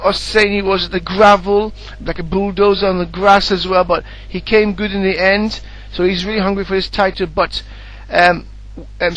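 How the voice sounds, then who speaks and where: A man's voice: high-pitched, fairly bright tone, rough recording. A man co-commentating on a sim race in a casual, matey way, chatting and putting questions to others. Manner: informal, matey.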